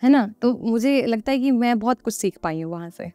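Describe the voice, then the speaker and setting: A woman's voice: fairly high pitch, animated, fairly quick, warm tone, clear, resonant tone, dry recording, faint music behind. A woman guest on a podcast, explaining earnestly and conversationally, repeating phrases for emphasis and posing rhetorical questions.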